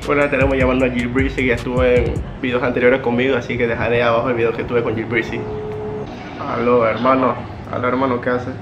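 A man's voice: medium pitch, little pitch movement, fast, dull audio, over music. A man in his early twenties with a Panamanian Spanish accent, chatting casually and in slang as a YouTuber making prank phone calls. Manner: slangy, informal. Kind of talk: casual prank-call chat. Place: living room indoors, on a couch.

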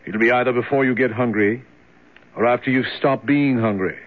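An actor playing a cold, matter-of-fact jailer in a radio drama, curt and offhand. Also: male voice, mid-range voice, brisk, natural voice. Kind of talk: 1970s radio drama acting. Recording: thin, phone-like 1970s radio recording.

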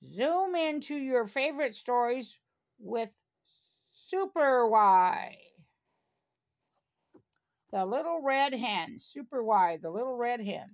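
Slow-paced older woman reading a storybook. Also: a little high, lively intonation. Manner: slow, deliberate, with small asides to the listener. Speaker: storybook reader. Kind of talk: read-aloud children's story.

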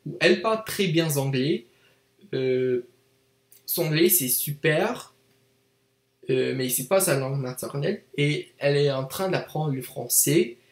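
A man's voice: on the high side, expressive, measured pace. Young canadian guy learning french, echoey room. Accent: English-speaking Canadian learner of French, with some Quebec French. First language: English. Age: young adult. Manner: hesitant, many fillers and self-corrections, gestures with hands. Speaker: vlogger. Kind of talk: unscripted casual monologue to camera. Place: small indoor room, seated in desk chair.

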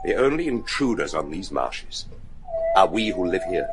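A haunted young gentleman in a gothic horror radio play. He is bitter, formal and ominous, his pitch moving dramatically. The track carries clear background noise and ambience. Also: big pitch swings, moderate pace. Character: haunted, bitter young heir of a gloomy manor.

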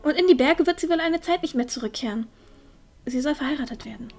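A woman's voice: high-pitched, quick pace, darkish tone, faint music behind. A woman playing an earnest teenage village girl, eager yet unsure, in a fantasy audio drama. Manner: earnest, youthful.

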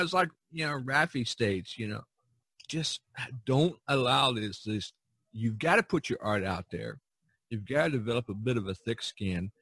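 A man talking casually as a podcast host, halting and repeating himself, often dropping in "you know". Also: mid-range voice, fairly bright tone, breathy edge, no echo.

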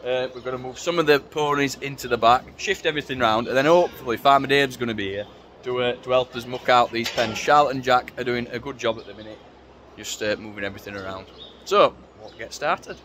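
A man's voice: medium pitch, a little fast. A man, chatting casually with fillers as he films himself around a farmyard and cattle barn. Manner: chatty, relaxed, with many fillers. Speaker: farmer and presenter. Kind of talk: casual vlog narration. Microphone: handheld selfie camera. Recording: outdoor noise, machinery nearby.

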